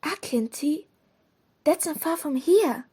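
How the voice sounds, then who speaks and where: Woman doing an earnest worried schoolgirl in an audio play, high voice, urgent. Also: lively intonation, slow pace, mellow.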